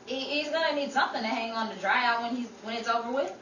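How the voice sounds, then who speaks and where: A woman's voice: on the high side, fast, a bit bright, muffled recording, lightly acted. A woman playing a wry, teasing, sarcastic character in a 1930s radio comedy. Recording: roomy, distant, faint background noise.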